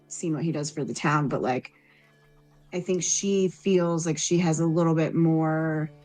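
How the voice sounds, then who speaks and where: A woman's voice: sits low, darkish tone, low-quality recording. A woman improvising narration for her character in a tabletop roleplaying game.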